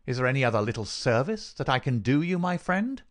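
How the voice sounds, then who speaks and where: Man acting a gloating, cruel villain, swooping pitch. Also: big pitch swings, brisk, natural voice. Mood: mocking. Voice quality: dry, rasping. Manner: sneering, mock-solicitous. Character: gloating, cruel murderer taunting his dying victim. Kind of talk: dramatic audiobook character reading.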